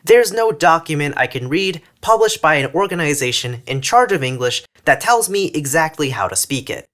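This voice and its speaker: A man with a mostly General American accent and a faint Texan tinge reads a scripted video essay into a close mic. His slightly high voice swings up and down a lot, and he leans on words for emphasis. The tone is wry and a little sarcastic. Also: expressive, moderate pace, a bit bright. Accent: mostly General American with slight Texan features. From northern Texas. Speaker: language YouTuber.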